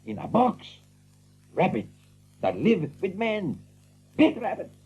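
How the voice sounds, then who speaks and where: A man playing an excitable, blunt, big-hearted seagull who talks in broken English with drawn-out exclamations, in an audiobook drama. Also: high voice, husky, lo-fi sound, full character voice. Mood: animated storytelling. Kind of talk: audiobook drama character acting.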